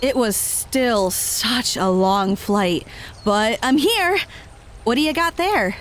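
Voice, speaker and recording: A woman acting an excitable, high-voiced female fighter. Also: takes it slowly, slight background noise. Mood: friendly. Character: upbeat, friendly woman fighter, excitable and warm. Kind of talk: audio drama dialogue.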